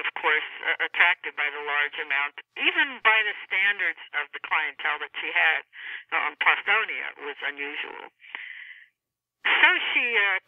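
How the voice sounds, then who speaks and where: A woman speaks as an author in a relaxed interview format, explaining things and pausing mid-sentence. Her voice comes through a narrow, tinny phone line with very little room sound. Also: on the low side, no room sound. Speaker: author being interviewed about a novel. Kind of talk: conversational interview. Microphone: telephone.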